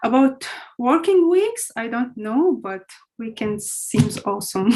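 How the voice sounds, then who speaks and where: A woman's voice: a little high, sing-song, takes it slowly, mellow, rough recording. Young Syrian-born woman, chatty English. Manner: chatty, non-native phrasing, many fillers. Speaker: guest, front-end engineer. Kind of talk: casual interview answers.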